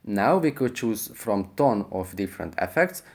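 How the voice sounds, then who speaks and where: A man's voice: lowish voice, very animated, moderate pace, darkish tone. A man presenting a how-to tutorial as its host, in scripted narration.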